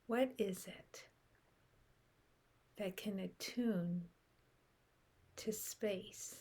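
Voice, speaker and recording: A woman guiding a meditation in a soft, unhurried way with long pauses, her breathy voice often trailing off into a whisper. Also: mid-range voice. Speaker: meditation guide. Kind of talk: guided meditation.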